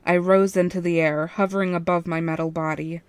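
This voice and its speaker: A woman's voice: on the low side, level tone, brisk, smooth, no room sound. A woman reading a first-person fan fiction story as its narrator.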